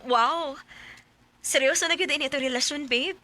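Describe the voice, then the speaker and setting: A woman's voice: high-pitched, normal speed, bright, forceful tone. An actress playing a reserved young working woman in a radio drama, with a Cebuano accent. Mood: coy, pleased. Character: Nalin, young working woman, reserved girlfriend telling her story.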